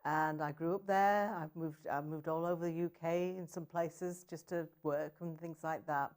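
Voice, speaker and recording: A woman's voice: deep voice, steady tone, normal speed, darkish tone. A middle-aged woman from northern England with a Sheffield accent, chatting as a guest in an interview in an upbeat way. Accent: Sheffield English. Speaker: guest, city economic development director. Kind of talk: casual interview chat.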